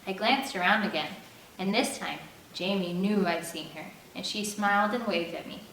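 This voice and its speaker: A woman's voice: mid-range voice, normal speed. Woman reading aloud in an echoey room. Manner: expressive first-person narration. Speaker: audiobook reader. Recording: echoey room, distant mic, faint air-conditioner hum.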